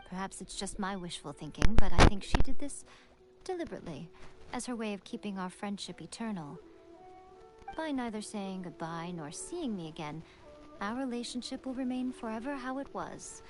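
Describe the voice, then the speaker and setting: A woman voicing a sly, teasing fox-spirit woman in a video game, speaking in a playful, unhurried way. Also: fairly high pitch, close to an everyday voice. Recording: game audio with music playing behind.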